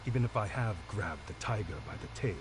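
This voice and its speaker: An actor playing a coolly unrepentant murder suspect in a crime drama game, deliberate and defiant. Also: male voice, fairly low pitch, animated, over music, lightly acted. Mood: cold defiance. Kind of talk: dramatic English game voice acting.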